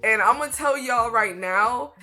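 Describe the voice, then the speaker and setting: A woman's voice: on the high side, normal speed, sharp tone, faint music behind. A woman in her late twenties giving a casual reaction commentary, chatty and exclaiming, laughing a lot. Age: 20s. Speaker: reaction video creator.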